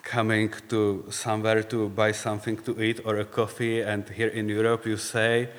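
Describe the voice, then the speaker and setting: A man with a Czech accent, speaking English as a second language, gives a reflective personal faith talk in halting, searching phrases. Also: lowish voice, monotone. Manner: halting, searching for words, non-native phrasing. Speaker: speaker sharing faith story. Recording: long reverb, hall-like.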